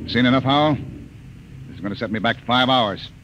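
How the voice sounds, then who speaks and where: A man playing a gruff, impatient freighter captain in an old-time radio drama, brusque and commanding. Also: medium pitch, a little fast, lightly acted. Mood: gruff, irritated. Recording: noisy, muffled old radio recording.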